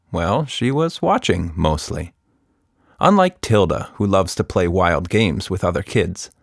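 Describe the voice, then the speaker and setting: A man's voice: low voice, dry recording, clean studio sound. A man telling a story for children as its author, playfully teasing and speaking straight to the listener. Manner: animated, teasing, talks directly to the listener.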